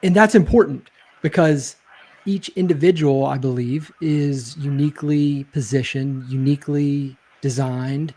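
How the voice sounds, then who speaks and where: Man, interview guest, reflective storyteller, soft warm voice, hedges a lot. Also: medium pitch. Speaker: guest, leadership speaker. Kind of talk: casual interview chat.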